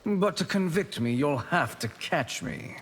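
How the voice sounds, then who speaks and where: Arrogant, scheming sorcerer in reverb. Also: male voice, on the high side, lively intonation, measured pace. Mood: haughty, dismissive. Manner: haughty, dismissive. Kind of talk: video game villain acting. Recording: reverberant hall with faint noise.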